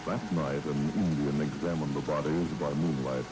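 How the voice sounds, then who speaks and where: A man's voice: very deep voice, steady tone, moderate pace, loud music behind the voice. A man narrating an old-time radio drama in a scripted, dramatic storytelling style, with noise and rain over the recording. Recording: noisy vintage radio, rain sounds.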